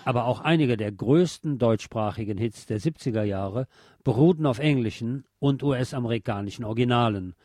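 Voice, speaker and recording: A man's voice: mid-range voice, darkish tone, no echo, clean studio sound. A man presenting a scripted music-history narration in literate, formal wording with a dryly ironic manner. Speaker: host.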